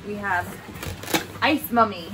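A woman's voice: medium pitch, slow, noisy background. A woman in her thirties with an American accent, chatting casually as a homeschooling mother hosting a home vlog. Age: 30s. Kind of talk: casual unboxing vlog chat. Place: small furnished room at home, indoors.

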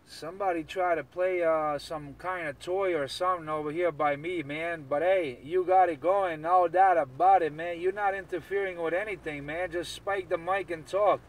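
A man's voice: a little high, fairly even intonation, moderate pace, hardly any pauses. A man chatting casually in CB radio slang, often repeating his phrases. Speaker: CB radio operator. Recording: distant mic, noisy radio hiss.

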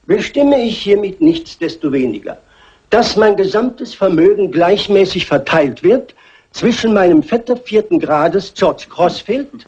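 A man's voice: high-pitched, darkish tone, husky, heavily acted. An actor playing a man who formally and dryly reads out a will in a dubbed classic crime film. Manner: formal, measured, dry. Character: formal reader of a sardonic will. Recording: dull vintage film soundtrack.

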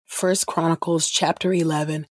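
A woman reading Bible passages aloud from a script in a measured manner. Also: low-pitched, flat delivery, slightly rough, no echo, clean studio sound. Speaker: podcast host, scripture reader.

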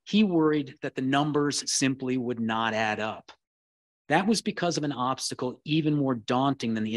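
A man giving a keynote history lecture, reading in a measured way with occasional restarts. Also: mid-range voice, no echo. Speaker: keynote speaker.

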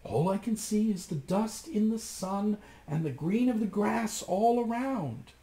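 A man's voice: high-pitched, lively intonation, a little slow, dark tone, voice pushed up. A man doing an anxious young sister. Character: anxious young woman, the wife's sister, lookout. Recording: echoey room.

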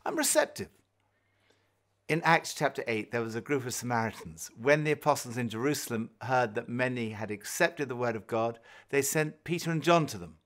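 A man's voice: mid-range voice, normal speed, a bit bright. A man gives an earnest Bible teaching talk, telling stories and acting out quoted voices. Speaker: course presenter. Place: home-like room indoors.

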